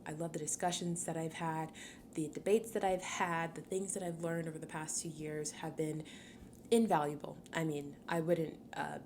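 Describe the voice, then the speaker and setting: A woman in her twenties, from Cameroon, hosting a solo podcast in a chatty, upbeat way, laughing often and filling pauses with ums. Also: low-pitched, a little mellow, slight background noise. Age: 20s. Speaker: podcast host.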